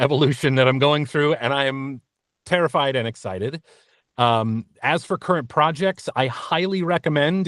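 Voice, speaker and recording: A man speaks in a casual interview style with a middling pitch. He is earnest and tends to break off and restart his thoughts. The recording is dry, with very little room sound. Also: dry recording. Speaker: interview guest, voice actor.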